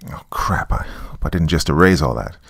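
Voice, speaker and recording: Man playing deep, gravelly, weary small-town survivor. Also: lively intonation, brisk, no room sound, heavily acted. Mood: wry, flustered. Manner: rambling, wry, colloquial. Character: weary, sardonic small-town man trapped in his basement. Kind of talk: dramatic monologue as a taped confession.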